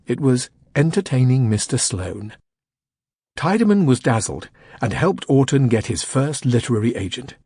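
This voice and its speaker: Male narrator for scripted audiobook introduction. Mid-pitched, slightly rough voice, expressive intonation, dry studio sound. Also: no room sound. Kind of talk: scripted documentary-style narration. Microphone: close studio microphone.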